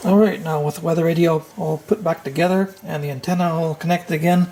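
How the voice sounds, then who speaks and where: A man giving an unscripted, hands-on repair walkthrough, thinking aloud in a halting, tentative way. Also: a little high, steady tone, a little mellow, low-quality recording. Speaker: repair tutorial host.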